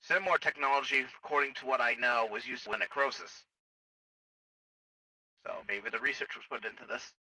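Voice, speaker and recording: A stern, clinical space-opera tech officer , acted by a man in a game roleplay. The voice is very bright and hard-edged, matter-of-fact, on a dry recording a little off the mic. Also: medium pitch, normal speed, long pauses, no echo, distant mic, poor audio. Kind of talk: improvised online game roleplay.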